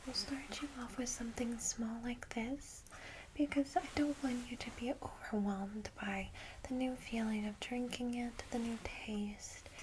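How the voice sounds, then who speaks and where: Gentle female vampire guide, acted by a woman: soft ASMR whisper, lightish pitch, soothing and unhurried, audible background noise. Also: steady tone, lightly acted. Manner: reassuring, unhurried, hushed. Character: gentle, welcoming female vampire guiding a newcomer.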